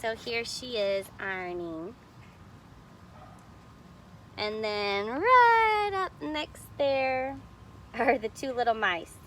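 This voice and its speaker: A woman reads a children's picture book aloud in a fairly high, very animated voice. She is expressive and explanatory, pointing things out for young listeners. There is faint noise behind her. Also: slow, long pauses. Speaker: storybook reader.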